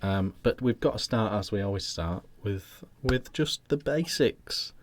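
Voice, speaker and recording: Brief: British male host, lowish voice, chatty and relaxed. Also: measured pace, a little hiss or hum. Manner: chatty, rambling, laughs along. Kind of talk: casual live show hosting.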